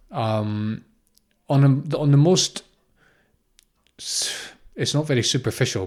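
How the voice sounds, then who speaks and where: A man in his thirties from Scotland gives an unscripted, informal spiritual talk, explaining reflectively and often repeating phrases or posing questions. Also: medium pitch. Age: 30s. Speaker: spiritual teacher, podcast host.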